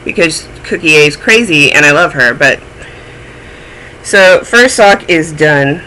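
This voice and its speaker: A man's voice: fairly high pitch, lively intonation, unhurried, pushed and bright, slight background noise, poor audio. A man hosting a knitting podcast, chatting in a rambling, self-deprecating way and sounding a little phlegmy. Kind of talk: casual chat.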